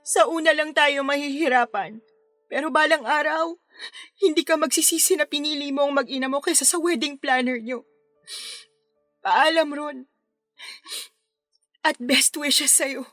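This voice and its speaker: Radio drama: Filipino woman, resigned lover giving up her man, high-pitched, emotional, soft music bed. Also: measured pace, pauses often, no room sound. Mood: resigned farewell. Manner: emotional, pleading. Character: heartbroken woman wedding planner, resigned, self-sacrificing lover.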